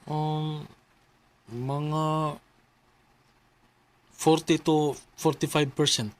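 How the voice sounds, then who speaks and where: Stubborn self-centred boyfriend, rather high male voice, Cebuano accent, offhand and dismissive, romantic radio drama. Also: fairly even intonation, drawn-out words. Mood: blunt. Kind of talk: Filipino radio drama acting.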